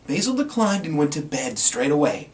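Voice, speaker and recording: Animated man storytelling, distant from the mic. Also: on the high side, moderate pace. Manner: theatrical, playful, emphatic. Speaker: amateur storyteller. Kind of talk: dramatic storybook reading in rhyme. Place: indoors, in front of a green screen. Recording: distant mic, faint room noise.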